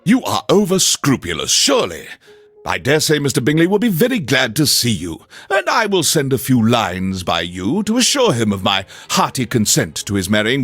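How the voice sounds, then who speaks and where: A man's voice: medium pitch, fairly bright tone, breathy edge, exaggerated voice. A man voicing a dry, teasingly sarcastic middle-aged husband in an understated storybook reading. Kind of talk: storybook reading in a game radio show.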